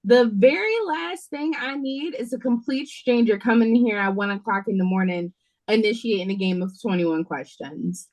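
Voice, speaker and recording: A frazzled waitress on the night shift, acted by a woman. Her voice sits on the high side, and she talks informally with a sassy, exasperated edge, as in an audio play. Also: normal speed, a little mellow, close to an everyday voice, rough recording. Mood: fed up. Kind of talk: audio drama read-through. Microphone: video call.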